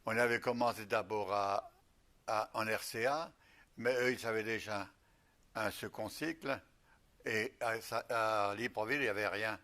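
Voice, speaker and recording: An older man from Alsace, a retired missionary bishop, recalling his life slowly with many pauses. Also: on the low side, steady tone, bright, forceful tone. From Alsace, France. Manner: slow, halting recollection with many pauses. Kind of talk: reminiscing interview.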